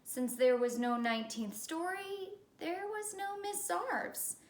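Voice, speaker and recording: A woman reading a children's story aloud in an expressive, teacherly storytelling way. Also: extremely high pitch, slow. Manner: expressive storytelling with character dialogue. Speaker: elementary school teacher. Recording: echoey room.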